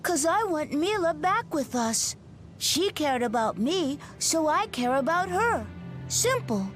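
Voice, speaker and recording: An actress playing a blunt, scrappy young spirit in a video game, talking in a casual, slangy way. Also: female voice, high-pitched, very animated, slow pace, soft background music, full character voice. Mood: stubborn, loyal. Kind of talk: animated video game voice acting.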